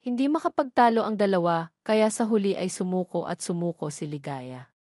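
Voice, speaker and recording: Female narrator, mid-pitched, very dry recording, for scripted romance storytelling. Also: animated, moderate pace, a little mellow, no echo.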